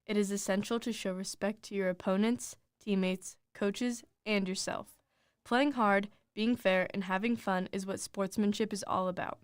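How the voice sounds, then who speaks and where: A young woman reads a scripted public service message as a student athlete. Her voice is smooth and clear at a medium pitch, recorded close and dry. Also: fairly even intonation, normal speed, no room sound. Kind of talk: scripted announcement read.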